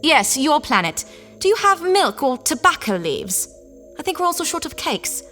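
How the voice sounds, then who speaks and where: Woman doing a plummy unflappable space lady, high and bright, echoey, some music. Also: very animated, moderate pace, full character voice. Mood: breezy. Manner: plummy, breezy. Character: posh cheerful spacefaring wife, brisk and unflappable. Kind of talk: audio drama acting.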